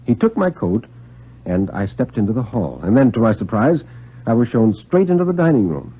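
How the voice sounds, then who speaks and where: Expressive, husky vintage radio storyteller, male. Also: mid-range voice. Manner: literary, expressive, deliberate phrasing. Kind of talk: dramatic 1950s radio reading of a story. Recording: muffled vintage 1950s radio recording.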